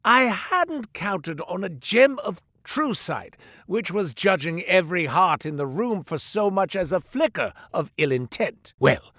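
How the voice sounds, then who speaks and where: A man's voice: high voice, very animated, unhurried, few pauses, pushed and bright, dry recording. A man doing a theatrical rambling merchant. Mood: chatty. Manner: theatrical, rambling storyteller with comic asides. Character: chatty eccentric male merchant telling tall tales. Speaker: game character merchant. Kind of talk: scripted game voice lines.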